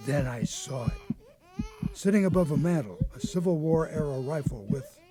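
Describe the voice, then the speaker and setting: An actor playing a haunted old grandfather, an escaped convict telling his story haltingly in a radio drama. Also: fairly high pitch, expressive, warm tone, lightly acted. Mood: haunted, agitated. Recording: noticeable background noise.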